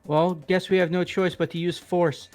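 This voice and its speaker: A man's voice: high voice, little pitch movement, moderate pace, a little mellow, soft background music, close to an everyday voice. A man voicing a blunt, shameless, goofy young man in an amateur playthrough of a visual novel game. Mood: blustering.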